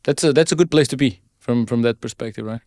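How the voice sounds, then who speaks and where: A man's voice: mid-range voice, fast, darkish tone, no echo, clean studio sound. A man hosting an interview in a conversational style, feeling his way tentatively through questions and rephrasing them. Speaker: podcast host, interviewer.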